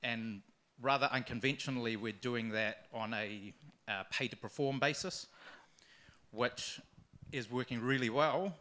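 A man asking a question from the audience, explaining his situation in a measured way. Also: mid-range voice, bright, forceful tone. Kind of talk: live question to a speaker.

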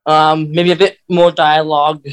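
A man co-hosting a podcast in casual conversation, talking in a halting way. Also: fairly high pitch, flat delivery, smooth. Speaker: podcast co-host.

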